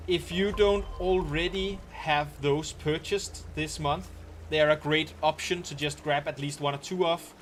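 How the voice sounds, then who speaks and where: A man's voice: a little high, fairly bright tone, slight background noise. A man with a Danish accent casually talking through a game on a live stream, rambling and explaining as he plays, with frequent ums and restarts. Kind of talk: casual live-stream commentary while playing.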